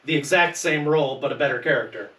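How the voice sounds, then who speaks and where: A man's voice: fairly high pitch, fast, sharp tone. Roomy, distant-sounding man on a podcast. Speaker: podcast panelist. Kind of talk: casual film discussion. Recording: echoey room, distant mic, faint noise.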